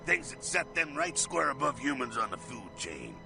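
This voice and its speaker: An actor playing a brash, streetwise vampire mentor in a video game, gravelly, slangy and swaggering, with a rough jovial streak. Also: male voice, medium pitch, big pitch swings, normal speed. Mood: animated, boastful. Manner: slangy, folksy, swaggering, swears. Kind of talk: video game character acting. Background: music.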